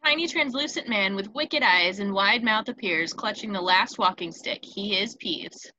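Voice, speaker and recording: Bright-voiced woman narrating a script. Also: medium pitch, normal speed, dull audio, slight background noise. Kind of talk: scripted narration of screen directions.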